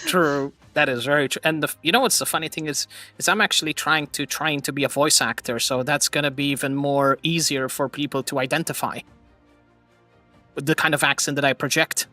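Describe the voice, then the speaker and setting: A man chatting on a live stream with a bright, forward voice, drifting between thoughts and laughing at his own slips. The sound is dry and close, with faint music underneath. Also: fairly high pitch, fairly even intonation, a little fast, no room sound. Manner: rambling, laughs often, self-mocking. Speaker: streamer host. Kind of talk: casual chat.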